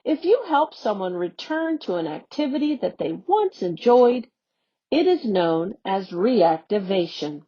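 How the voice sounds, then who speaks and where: A woman on an education staff reading a youth newsletter aloud in a slow, expressive storybook manner. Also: medium pitch. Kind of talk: read-aloud for young learners. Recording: dull lo-fi audio.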